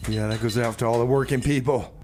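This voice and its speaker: A man's voice: mid-range voice, steady tone, fast, darkish tone, a little hiss or hum, natural voice. A man playing an earnest radio DJ, chatting casually, rambling and repeating himself. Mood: chatty. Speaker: radio show host and DJ. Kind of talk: casual improvised radio banter.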